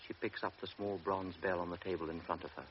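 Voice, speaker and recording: Deep-voiced male radio drama narrator. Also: steady tone. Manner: measured, present-tense storytelling. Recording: dull old radio recording with faint hiss.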